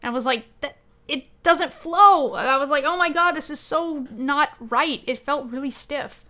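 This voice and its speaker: A woman with a high voice co-hosts a casual gaming podcast. She talks in an expressive, animated way and often slips into quoting imagined remarks. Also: moderate pace. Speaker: podcast co-host. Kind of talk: casual chat about video games.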